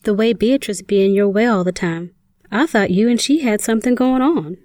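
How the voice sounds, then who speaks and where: A woman's voice: medium pitch, normal speed, a little mellow, no room sound, studio quality, close to an everyday voice. A woman playing a teasing, observant young sister in an audio drama.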